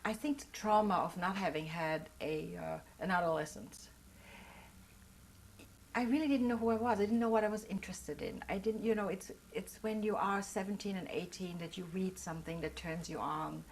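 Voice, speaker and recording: A woman's voice: mid-range voice, moderate pace, a little hiss or hum. An older woman whose first language is German, telling her life story in an oral history interview, reflective and earnest as she searches for words.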